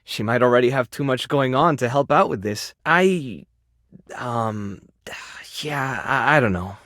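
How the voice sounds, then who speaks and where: Kind, modest animal rescue keeper, lively pitch. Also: male voice, a little slow, no echo, studio quality. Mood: flustered, apologetic. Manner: soft-hearted, self-effacing, hesitant with small sighs and chuckles. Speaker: voice actor in a dating game. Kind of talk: video game character dialogue, natural and conversational.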